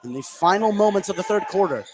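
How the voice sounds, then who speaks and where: High-voiced American man calling basketball, noisy gym. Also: expressive, fast talker, a little mellow. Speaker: play-by-play announcer. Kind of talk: live basketball commentary. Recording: clear gym noise behind live commentary.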